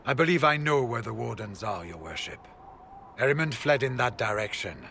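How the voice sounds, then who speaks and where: An actor playing a grave, principled warrior in a video game, measured and solemn. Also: male voice, mid-range voice, expressive, creaky, pushed and bright, a little hiss or hum. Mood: purposeful. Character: grave, principled male warrior of a fallen order. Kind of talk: video game cutscene acting.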